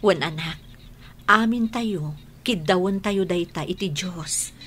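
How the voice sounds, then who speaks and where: A woman playing a gentle, reassuring mother in an Ilocano radio drama, soothing and encouraging. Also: fairly low pitch, takes it slowly, slight background noise.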